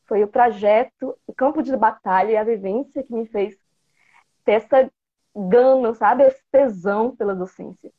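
A playful, chatty woman in her thirties with a Bahian Brazilian accent, her voice sitting a little high. She talks through an earphone mic on a video call, and the sound comes through slightly dull. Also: normal speed. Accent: interior Bahia Brazilian Portuguese. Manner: chatty, playful, gestures a lot. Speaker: guest, biology teacher. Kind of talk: casual video-call interview. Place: small room indoors. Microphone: earphone headset mic on video call.

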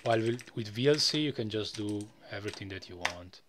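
A man presenting a casual, unscripted software tutorial in a rambling way, often tagging phrases with "you know". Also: fairly low pitch, animated, fairly quick, noisy background.

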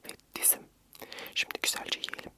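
A young man whispering gently and soothingly in a breathy voice, narrating an ASMR vlog close to the mic. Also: moderate pace. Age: young adult. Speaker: ASMR vlogger.

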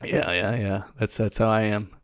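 A man's voice: fairly low pitch, fairly even intonation, normal speed, no echo, clean studio sound. A man co-hosting a podcast, trading crude jokes and laughing often in casual banter. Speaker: podcast co-host.

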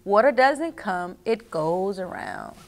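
A middle-aged woman reading and explaining to children as a story time host, expressive and speaking directly to her young listeners. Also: medium pitch, slow, vocal fry, slight background noise. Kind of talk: children's read-aloud and instruction. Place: small indoor reading nook with bookshelves.

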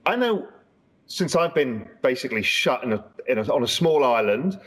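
Husky, lively man hosting a video call. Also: medium pitch, normal speed. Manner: lively, rambling, conversational. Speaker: host. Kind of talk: conversational interview. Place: large room at home. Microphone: video call webcam.

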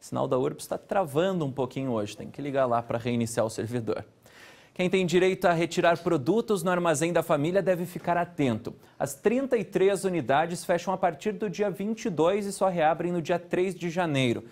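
A man, presenting the local news from a TV studio in a steady, conversational way. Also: on the high side, a little fast. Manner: steady, conversational anchor delivery. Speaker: news anchor.

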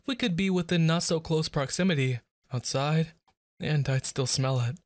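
A man's voice: on the high side, normal speed, no echo, studio quality. A man performing a boyfriend ASMR roleplay, speaking to an unseen listener in a moody, dramatic way.

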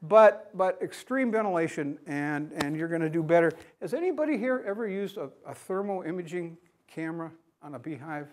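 A man's voice: a little high, animated, brisk, hardly any pauses, a little mellow. A man in his sixties from the United States giving a plain-spoken conference lecture in a classroom, asking the audience questions as he goes. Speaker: beekeeping speaker.

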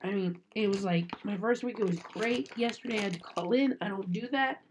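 A woman's voice: medium pitch, a little fast, slight background noise. Congested, nasal woman vlogging. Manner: chatty, apologetic. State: sick with a cold, congested. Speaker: unboxing vlogger. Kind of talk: casual chat to camera. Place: small room indoors. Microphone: webcam at desk.

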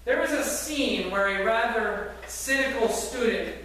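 A man's voice: extremely high pitch, measured pace, a bit bright, heavy reverb, a little hiss or hum. A man preaching a sermon in a church, telling a story earnestly with some fillers, recorded from far back in the church. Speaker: pastor. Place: church sanctuary with congregation. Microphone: far camera at back of church.

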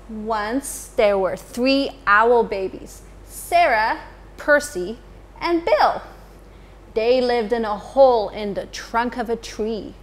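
A woman in her thirties, a teacher, reading a picture book to children in an expressive storytime manner in a school library. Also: on the high side. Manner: slow, expressive, addressing children.

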